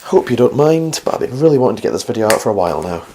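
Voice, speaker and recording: A man with a slightly croaky, flu-worn voice hosts a casual instructional science vlog, chatty and rambling with wry, self-deprecating asides. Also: on the low side, big pitch swings, rapid-fire, darkish tone, a little hiss or hum. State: getting over the flu. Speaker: host of a science video series.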